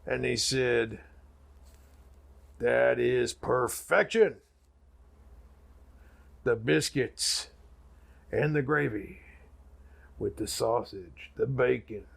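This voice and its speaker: Gravelly, drawling-slow backwoods preacher. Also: male voice, mid-range voice, a bit bright, full character voice. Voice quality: gravelly, raspy. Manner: drawn-out, folksy, dramatic pauses and sudden swells. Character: folksy backwoods male preacher storyteller, grandiose and solemn. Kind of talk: mock sermon storytelling.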